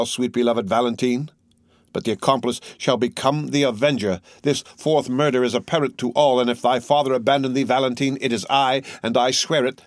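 A man's voice: mid-range voice, no room sound, clean studio sound, voice pushed up, lightly acted. A man voicing a grieving young man who accuses others with fierce insistence. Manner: impassioned, insistent.

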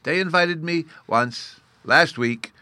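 A tiny storybook fairy boy , as a male reader puts him on, lisping out childish baby talk with mangled words. He is earnest, proud and a little scornful, with a voice lifted well up and a bright, forward edge. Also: mid-range voice, moderate pace, no room sound, pitched above the performer's own voice, close to an everyday voice. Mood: grave, proud. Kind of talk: storybook character reading.

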